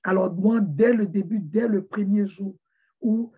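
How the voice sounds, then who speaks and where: A woman's voice: medium pitch, measured pace, slightly rough. A woman giving a heartfelt motivational talk to her viewers, earnest and often repeating words as she searches for the next one. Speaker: motivational vlogger for immigrants. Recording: tinny phone-like sound.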